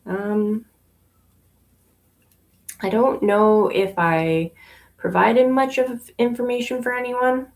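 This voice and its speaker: A young adult woman from Canada, a yarn shop owner, chatting casually on a livestream while she knits, relaxed and unhurried, with plenty of ums and pauses. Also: on the high side. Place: inside her yarn shop.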